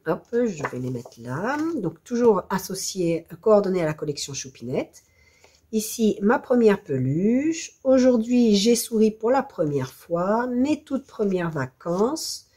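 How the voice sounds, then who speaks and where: Hoarse French woman with a warm tone. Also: medium pitch, sing-song, a little slow. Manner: hesitant, apologetic, self-deprecating. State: hoarse from flu. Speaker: scrapbooking video host. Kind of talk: crafting tutorial presentation.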